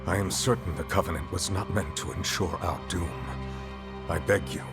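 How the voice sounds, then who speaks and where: Solemn fantasy game envoy, low-pitched, eloquent, courtly, measured, prominent music behind. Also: male voice, gravelly. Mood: urgent pleading. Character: solemn, courtly male envoy of a queen, steely beneath courtesy. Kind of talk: cinematic fantasy game acting.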